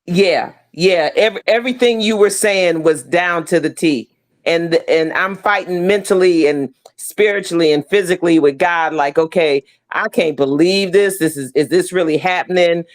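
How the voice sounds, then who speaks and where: A woman's voice: lowish voice, moderate pace. A middle-aged woman speaking casually and effusively as an interview guest on a video call, stressing her points. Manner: emphatic, effusive. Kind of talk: casual heartfelt interview talk.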